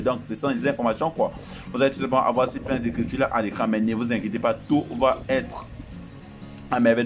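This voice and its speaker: Casual French-speaking man over music. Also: medium pitch. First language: not French. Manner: casual, reassuring, addresses viewers as friends. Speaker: tech tutorial host. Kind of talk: screen-recorded tutorial walkthrough. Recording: voice-over with loud background music.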